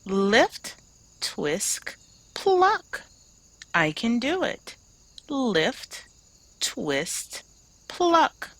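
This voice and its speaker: A woman voicing a playful, excitable little bunny child in a children's storybook, exclaiming in a singsong way. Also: a little high, extremely slow, pauses often, no room sound, full character voice. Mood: delighted. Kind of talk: children's storybook read-aloud.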